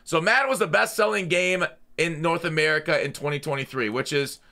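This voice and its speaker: Bright-voiced man giving casual gaming commentary. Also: a little high, fairly quick. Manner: opinionated, blunt, slangy. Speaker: gaming channel host.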